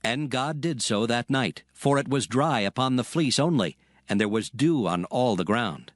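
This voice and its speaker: A man narrating scripture from a script in a solemn, measured storytelling manner. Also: mid-range voice, lively intonation, no room sound, clean studio sound.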